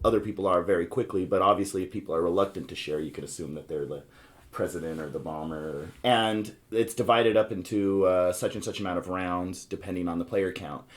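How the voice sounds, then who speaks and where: A man hosting a casual podcast about board games, explaining things in a rambling way and circling back over his points. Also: low voice. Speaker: podcast host.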